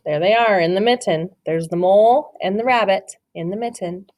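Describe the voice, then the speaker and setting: A woman's voice: medium pitch, normal speed, darkish tone. A woman teacher reading a picture book aloud to children, encouraging them and asking questions about the pictures.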